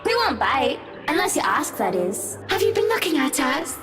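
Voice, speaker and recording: A woman's voice: sits high, big pitch swings, moderate pace. A woman voicing a sly, cruel apparition in a visual novel, with a teasing, theatrical, mock-sweet delivery. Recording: long reverb with music behind.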